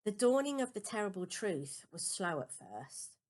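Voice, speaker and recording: A woman reading a children's novel aloud as a first-person story, with expressive, deliberate emphasis. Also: mid-range voice. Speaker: amateur audiobook reader.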